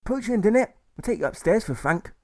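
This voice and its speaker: Man putting on an Irish accent, young soldier, chatty, boisterous, higher and louder than his narration. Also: animated, quick pace. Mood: jovial.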